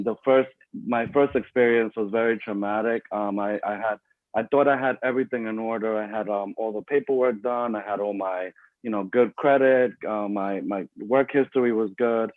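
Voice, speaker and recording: A man's voice: on the low side, dry recording. A middle-aged man from the Bronx, speaking as a guest on a video call, talking casually with many fillers and restarts. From Bronx, New York. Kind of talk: casual online talk. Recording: tinny dry phone-like line.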